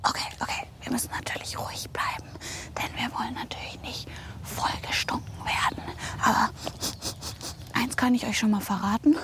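A woman animal reporter giving hushed, excited, whispery commentary on location for children. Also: fairly high pitch, normal speed.

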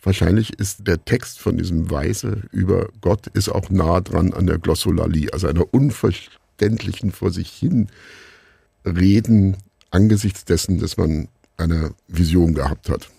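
A middle-aged man giving reflective answers as an interview guest, musing and haltingly searching for words. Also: low-pitched, vocal fry, mellow, breathy edge, no room sound. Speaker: interview guest, radio play maker.

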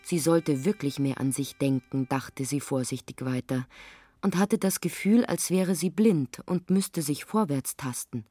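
A woman's voice: low-pitched, measured pace, warm tone, dry recording, studio quality, soft background music. An actress playing a sober, matter-of-fact young woman in a radio play, partly telling her own thoughts in the third person. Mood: hesitant, pensive.

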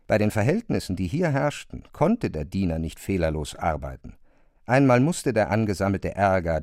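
A man reads literary prose in a measured, articulate way, as a narrator. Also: lowish voice, a little mellow, dry recording, clean studio sound.

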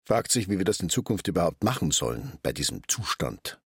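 A man's voice: sits low, very animated, a little fast, vocal fry, breathy edge, no room sound, clean studio sound, full character voice. An actor playing a worried grown son who presses his ailing father, in a stage drama with an insistent manner. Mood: sober. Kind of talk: stylised stage-drama dialogue and narration.

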